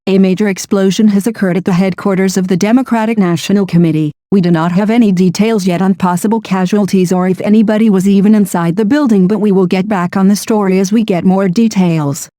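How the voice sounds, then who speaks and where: A woman's voice: medium pitch, fairly even intonation, fairly quick, hardly any pauses, dark tone, no room sound, studio quality, lightly acted. A woman playing a TV news anchor, reading a scripted breaking news report. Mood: serious.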